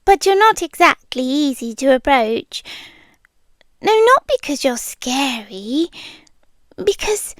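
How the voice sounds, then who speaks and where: A British woman playing a shy, smitten monster from under the bed in an ASMR roleplay, speaking softly and tenderly, often in whispers, close to the microphone. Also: high voice, sing-song, no echo, full character voice. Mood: gently teasing. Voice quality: soft, breathy, often dropping into whispers. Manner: slow, hesitant, tender delivery. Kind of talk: ASMR roleplay, intimate monologue. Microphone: close microphone, dry.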